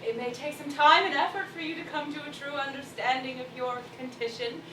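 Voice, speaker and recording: An actress playing a knowing woman in a stage musical, speaking in a formal, measured, theatrical way. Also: sits high, background noise, close to an everyday voice. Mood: knowing, pointed. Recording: echoey stage from far off, music behind.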